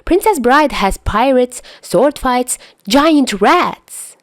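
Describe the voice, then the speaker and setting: A woman's voice: high-pitched, lively intonation, takes it slowly, no room sound, clean studio sound, pitched above the performer's own voice. A woman voicing a smug, fussy teenage boy who teases and condescends in an audio story. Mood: haughty bickering. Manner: teasing, condescending.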